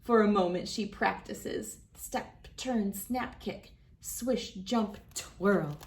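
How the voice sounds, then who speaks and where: A woman reading a children's story aloud as host, with dramatic, deliberate phrasing and clear emphasis. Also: medium pitch. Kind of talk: expressive picture-book read-aloud.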